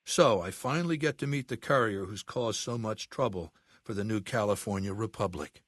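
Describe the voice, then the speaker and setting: A male actor playing an imperious middle-aged dictator of a slaver legion in a video game, speaking in a commanding, condescending, deliberate way with menace. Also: on the low side, no echo, clean studio sound, natural voice. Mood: wry, commanding. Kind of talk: video game character dialogue.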